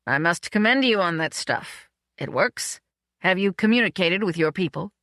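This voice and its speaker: A woman's voice: lowish voice, lively intonation, normal speed, a bit bright, no echo, clean studio sound. A woman voicing a powerful old male millionaire in an audiobook, formal, courtly and emphatic. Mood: pleased.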